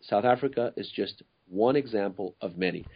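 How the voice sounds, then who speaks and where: A man from Ecuador talks through a podcast topic in an earnest, searching way, full of fillers and restarts. His voice sits low and a little husky. The recording is dull and lo-fi. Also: moderate pace. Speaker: co-host. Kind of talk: casual podcast discussion.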